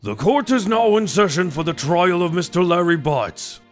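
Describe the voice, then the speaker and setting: Solemn judge, played by a man, slightly high voice. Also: expressive, music in the background. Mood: solemn, authoritative. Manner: solemn, deliberate. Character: stern, formal male courtroom judge. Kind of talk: game fandub acting.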